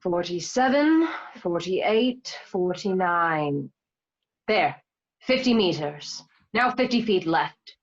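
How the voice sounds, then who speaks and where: A woman's voice: lowish voice, animated, slow pace, highs cut off. A woman voicing a confident, scheming female cat burglar in an amateur radio play. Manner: cool, self-assured.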